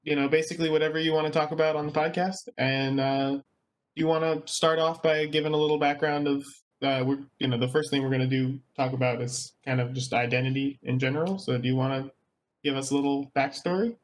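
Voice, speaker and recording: A young American man co-hosting a podcast, talking in a relaxed, hesitant way with many fillers. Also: mid-range voice, fast talker. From United States (born Virginia). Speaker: podcast co-host. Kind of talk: casual podcast chat.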